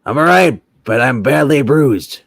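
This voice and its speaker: A man's voice: mid-range voice, lively intonation, measured pace, vocal fry, fairly bright tone, no echo, full character voice. A man voicing a cheeky, crude male frog puppet in a whiny, brash way. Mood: indignant.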